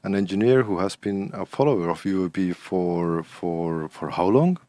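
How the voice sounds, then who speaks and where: A man's voice: low-pitched, expressive, normal speed, warm tone, no room sound. A man hosting a podcast in casual chat, often repeating words as he searches for the next one. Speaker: podcast host.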